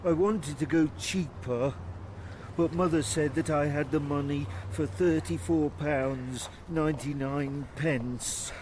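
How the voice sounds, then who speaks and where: A childlike, obedient grown man in a radio drama. He talks slowly and literally in simple repeated phrases, a little high, over faint background noise. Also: a little mellow. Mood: placid, literal. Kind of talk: radio play dialogue.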